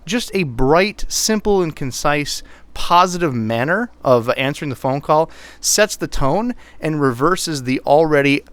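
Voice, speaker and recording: A man with a low voice, co-hosting an IT podcast, speaking deliberately with fillers and restarts. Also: animated, smooth, dry recording. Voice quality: low voice, pushed brighter on purpose. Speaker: podcast co-host, IT technician. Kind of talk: casual podcast talk. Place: Minnesota, US.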